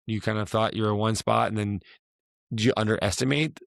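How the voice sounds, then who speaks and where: A man hosting a casual talk, his voice hoarse and raspy from a week of yelling, rambling and restarting as he asks questions. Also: lowish voice, steady tone, a little fast, dry recording, clean studio sound. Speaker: podcast host, fitness coach. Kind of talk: casual chat.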